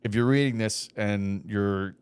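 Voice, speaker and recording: A young man co-hosting a casual podcast, giving matter-of-fact advice with quiet deadpan jokes. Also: lowish voice, measured pace, clean tone, no room sound. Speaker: podcast co-host, mortgage lender. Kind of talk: casual chat.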